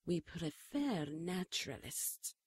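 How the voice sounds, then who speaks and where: An actress as a protective pagan nun in a radio play, dry and challenging. Her voice is mellow and husky, with lively intonation. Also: fairly low pitch, takes it slowly, no room sound, heavily acted. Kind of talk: radio drama acting.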